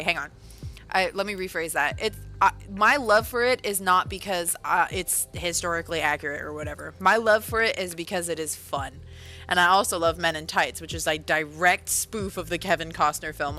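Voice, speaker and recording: A woman's voice: lowish voice, sharp tone. A woman chatting casually on a livestream, rambling along with lots of fillers and correcting herself as she goes. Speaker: author and livestreamer.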